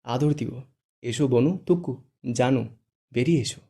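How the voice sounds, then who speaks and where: A man's voice: mid-range voice, little pitch movement, fairly quick, dark tone, lightly acted. A man voicing a cheeky, dramatic young man who mocks his friend in a raised, theatrical voice. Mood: teasing.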